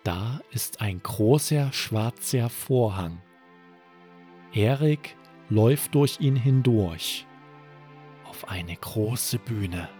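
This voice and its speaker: A man tells a bedtime story for children. His pace is very slow and his phrasing deliberate, with a medium pitch and a measured storyteller's delivery. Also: darkish tone.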